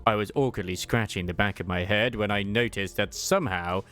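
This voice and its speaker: A man reading a game story as dramatized first-person narration, with expressive, bewildered exclamations. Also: fairly low pitch, brisk, soft background music. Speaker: fan dubber and game reader.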